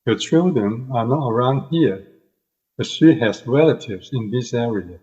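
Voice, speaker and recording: An older man with a Hong Kong accent speaks as a podcast guest in a husky, mid-pitched voice. He gives a personal testimony, and the audio is somewhat dull and lo-fi. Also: expressive, a little slow, warm tone.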